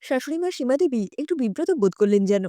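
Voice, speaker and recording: A woman's voice: sits high, animated, fast, warm tone, dry recording, clean studio sound. A woman narrating a scripted story expressively as a storyteller.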